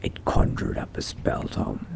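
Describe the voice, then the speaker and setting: A man voicing a brooding, curious young vampire adventurer in a video game roleplay, musing to himself in a slow, hushed, gravelly whisper. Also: a little hiss or hum, lightly acted. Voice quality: very deep, breathy, gravelly whisper. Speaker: roleplay gamer.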